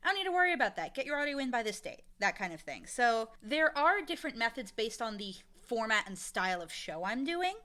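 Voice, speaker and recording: A woman being interviewed, talking in a casual, informal and animated way about her work. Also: a little high, brisk, few pauses. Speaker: interviewee, audio drama showrunner and voice actor.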